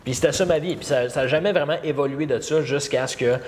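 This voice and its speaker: A man in his thirties from Montreal with a Québécois accent, a podcast guest who tells his story in a halting way, with many fillers and repeats. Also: on the high side. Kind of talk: casual interview storytelling. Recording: long reverb, faint background noise.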